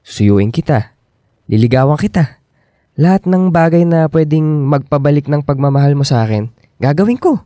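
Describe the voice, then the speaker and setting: A man's voice: fairly high pitch, lively intonation, moderate pace, dark tone, dry recording, clean studio sound, close to an everyday voice. A man acting an earnest, insecure young boyfriend in a Filipino radio drama, pressing for commitment. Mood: subdued, pleading.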